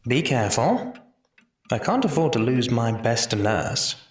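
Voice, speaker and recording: A man's voice: medium pitch, big pitch swings, measured pace, breathy edge. A man playing a formal, caring, anxious Victorian doctor in an audio drama. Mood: composed, caring.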